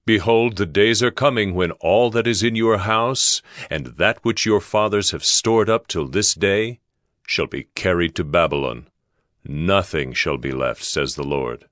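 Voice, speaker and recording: An actor playing a grave prophet in a dramatized scripture reading, speaking with declamatory authority. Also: male voice, deep voice, a bit bright, no echo, clean studio sound. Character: grave male prophet delivering God's word.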